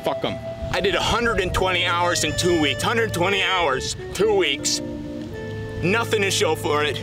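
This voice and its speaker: A man's voice: high-pitched, animated, measured pace, over music. A young man in a comedy sketch monologue, talking in a whiny, rambling, self-pitying way. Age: young adult. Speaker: sketch comedian playing a hapless worker. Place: outdoors on a lake beach.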